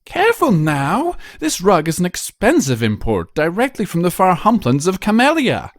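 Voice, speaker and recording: A man plays a genteel young lady unicorn from a cartoon-based story, theatrical and fretful. The pitch sits high and swoops about with fussy drama. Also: huge pitch swings, moderate pace, no room sound, clean studio sound. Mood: fussy warning. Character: refined, dramatic, fretful young female unicorn fashion designer.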